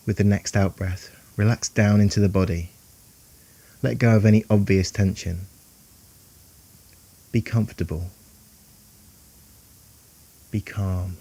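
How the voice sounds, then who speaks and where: A man guiding a meditation in a calm, soothing, unhurried way, leaving long pauses between phrases. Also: on the low side. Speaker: meditation guide. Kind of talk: guided meditation narration.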